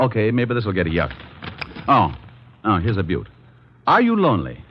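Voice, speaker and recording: A man's voice: fairly low pitch, huge pitch swings, normal speed, slight background noise. A man playing a flippant, wisecracking private detective in a 1940s radio drama. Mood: playful, flippant. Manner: wry, flippant, playful. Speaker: lead actor and narrator. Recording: muffled old radio broadcast.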